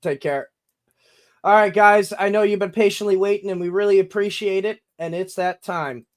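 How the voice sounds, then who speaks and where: A man's voice: high voice, fairly even intonation, moderate pace. A man with a nasal voice, hosting a sports podcast in a friendly, upbeat way. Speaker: podcast host.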